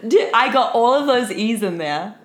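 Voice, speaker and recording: A woman's voice: on the high side, animated, normal speed. Woman podcaster bantering in an echoey room. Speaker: podcast co-host. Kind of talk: casual comedic chat. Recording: roomy echo, clear background noise.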